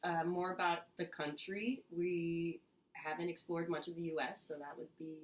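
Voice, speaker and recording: A young woman with a fairly low voice talks in a relaxed, drily teasing way and often trails off mid-sentence. She sits away from the mic, with faint room noise around her in a small apartment. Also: normal speed, reverberant, recorded from a distance. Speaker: contestant. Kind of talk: casual audition tape.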